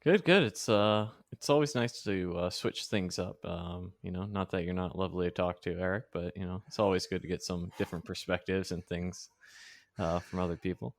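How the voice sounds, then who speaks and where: A man co-hosts a podcast with a low, gravelly voice and a relaxed delivery. He hesitates often, and his sound is dry and close with faint music behind. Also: brisk, no room sound. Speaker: podcast co-host. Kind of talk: casual podcast chat.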